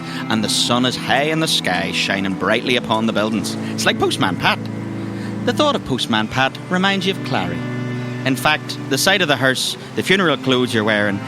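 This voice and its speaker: An Irish man runs a tabletop game as its game master, his pitch moving around a lot as he paints each scene. He slips into colloquial asides between the descriptions. Loud music plays behind his mid-range voice. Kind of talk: narrating a tabletop roleplaying game.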